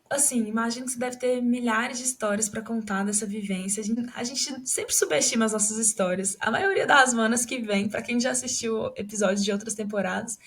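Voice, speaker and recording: A woman's voice: a little high, vocal fry, a bit bright, slightly rough. A young woman hosting a casual travel interview, enthusiastic and encouraging toward her guest. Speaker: podcast host.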